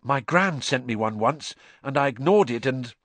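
A man's voice: medium pitch, expressive, normal speed, a bit bright, no echo, voice pushed up. A man voicing a timid, nervous schoolboy in a storybook reading. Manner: timid, anxious. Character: timid round-faced schoolboy, nervous and gulping.

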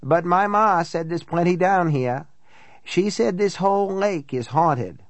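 A man's voice: sits high, lively intonation, a little slow, no echo, pitched above the performer's own voice. A man voicing an older small-town housekeeper woman from an audiobook, blunt, gossipy and matter-of-fact. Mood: matter-of-fact, grim relish. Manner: folksy, matter-of-fact storytelling. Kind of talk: audiobook character dialogue.

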